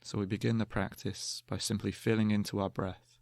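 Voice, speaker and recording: A man guiding a meditation in a calm, gentle, measured way, leaving long pauses between slow spoken instructions. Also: fairly low pitch, gravelly, dry recording. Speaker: meditation guide.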